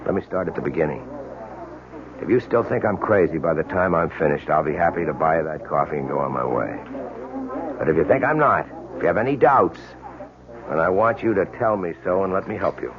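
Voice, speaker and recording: Deep-voiced, dogged police detective. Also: male voice, lively intonation, fairly quick, noisy background. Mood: earnest, insistent. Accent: American. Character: dogged male police detective, earnest, persuasive, world-weary. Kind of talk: 1970s radio drama acting. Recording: dull, lo-fi old radio drama recording.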